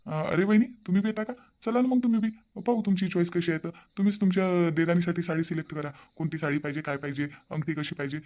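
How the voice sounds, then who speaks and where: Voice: medium pitch, fairly even intonation, quick pace, few pauses, darkish tone, natural voice. A voice actor playing an easygoing young son in a cartoon, cheerful and persuasive. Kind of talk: cartoon voice acting.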